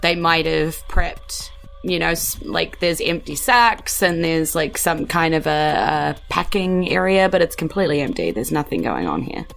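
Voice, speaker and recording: Low-voiced New Zealand woman narrating a game. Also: expressive, normal speed, vocal fry, fairly bright tone, slight background noise. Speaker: game master. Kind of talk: improvised tabletop game narration.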